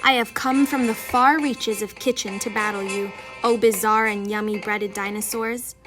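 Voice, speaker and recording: A woman's voice: mid-range voice, fairly even intonation, moderate pace, over music, lightly acted, rough recording. A woman voicing a proud female scissors warrior in a children's storybook, haughty and formal. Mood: challenging.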